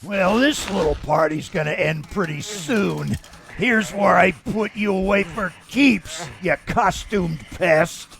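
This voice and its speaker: A man voicing a menacing, sneering sand villain in a comic dub, with gravelly, taunting, slangy tough-guy delivery. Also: sits high, slow, a bit bright, soft background music, exaggerated voice. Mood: gloating menace.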